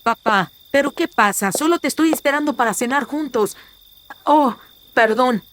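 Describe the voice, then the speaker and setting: A man voicing a gentle, polite young woman, a merchant's daughter, through a pitch filter in a horror radio drama. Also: normal speed, noisy background. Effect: pitch-shifting voice filter.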